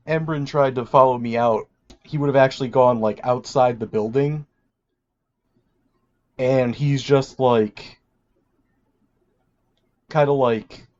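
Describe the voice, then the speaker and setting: A man playing a tabletop game, casually and haltingly describing his character's actions on slightly dull audio. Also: medium pitch, darkish tone.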